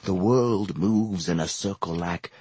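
A grandiose veteran patient declaims his prophecies slowly, voice swelling with emotion, as a man reading the story puts him on. The recording is dry and close. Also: low-pitched, a little mellow, husky, dry recording. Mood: declaiming. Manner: slow, declaiming, throbbing with emotion.

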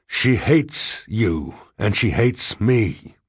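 Man acting a desperate, tormented professor, husky. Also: on the low side, big pitch swings, drawn-out words, no room sound, clean studio sound, voice pushed up, heavily acted. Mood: anguished. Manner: impassioned, melodramatic.

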